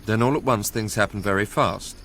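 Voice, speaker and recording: An actor playing a young Englishman who recounts his past in a measured, literary way for a radio drama. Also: on the low side, a bit bright, a little hiss or hum. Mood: tense, bitter. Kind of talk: radio drama first-person narration.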